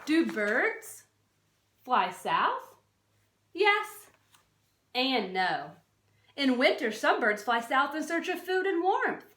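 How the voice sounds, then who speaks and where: A woman's voice: high-pitched, big pitch swings, slow, pauses often, a bit bright. A woman reading a non-fiction children's book aloud like a teacher, expressively, stressing key words and adding short explanatory asides. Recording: roomy, echoey sound.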